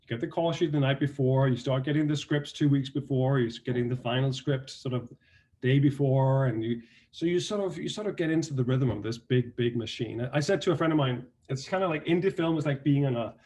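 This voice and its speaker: A man's voice: medium pitch, fairly quick. Danish man chatting about acting. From Denmark. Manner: relaxed storytelling with many restarts and fillers. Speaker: actor and guest. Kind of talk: casual interview talk.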